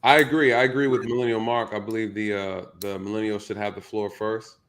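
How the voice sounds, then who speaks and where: A man's voice: lowish voice, flat delivery, a little fast, a bit bright. A man in his thirties moderating a casual panel discussion, talking loosely and joking, with plenty of fillers. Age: 30s.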